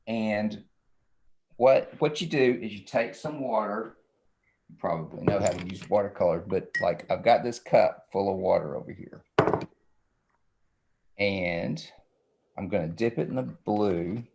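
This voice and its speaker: A man's voice: fairly low pitch, measured pace, vocal fry, pauses often, background noise. A middle-aged man giving a casual, rambling drawing demonstration for children, often checking in with the viewer.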